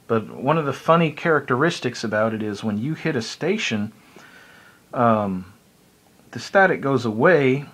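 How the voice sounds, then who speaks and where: A man giving a casual, unscripted hobby explainer in a folksy, rambling, self-deprecating way. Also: mid-range voice. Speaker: hobbyist video presenter.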